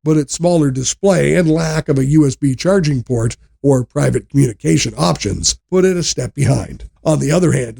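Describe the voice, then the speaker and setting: A man reads a scripted product review in a measured, explanatory way. His voice sits a little high for a male narrator and carries a noticeable creak. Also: a little mellow.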